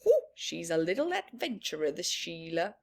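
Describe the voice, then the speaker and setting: A woman reading a picture book aloud to young children, expressive and chatty, adding playful asides. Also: on the low side, moderate pace. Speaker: children's storyteller.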